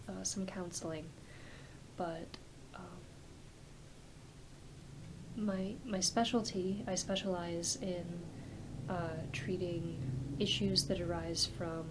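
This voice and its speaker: Woman doing a kind soft spoken doctor for asmr, slow n gentle, bit hesitant, noisy room. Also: on the low side. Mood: kind, welcoming. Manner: gentle, hesitant, conversational bedside manner. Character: kind, reassuring doctor who treats werewolves. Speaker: ASMR roleplayer.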